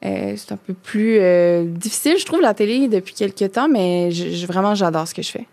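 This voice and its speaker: A woman's voice: mid-range voice, brisk, darkish tone, dry recording. A woman in her thirties from Quebec with a Québécois French accent, chatting as a podcast guest and talking loosely with many fillers and restarts. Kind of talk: casual chat.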